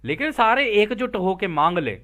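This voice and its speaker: A man's voice: sits high, animated, normal speed, fairly bright tone. A man teaching spiritual material, reading aloud and explaining it informally, checking in with listeners through rhetorical questions.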